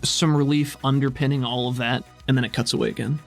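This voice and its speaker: Male game master, dramatic tabletop narration, average pitch with gravelly edge. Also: steady tone, quick pace, faint music behind.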